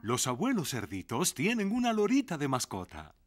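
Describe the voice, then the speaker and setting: Very animated male cartoon narrator. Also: a little high, moderate pace. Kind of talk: scripted children's cartoon narration. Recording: music under the voice.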